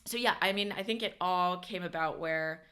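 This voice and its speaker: A woman's voice: on the low side, normal speed, bright, forceful tone, clear, resonant tone. A young woman from the United States, a podcast guest in casual chat who rambles with many fillers and corrects herself.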